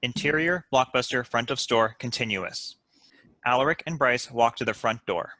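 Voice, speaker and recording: A man's voice: medium pitch, moderate pace, sharp tone, no room sound, rough recording. A man reading stage directions as scripted narration for a table read.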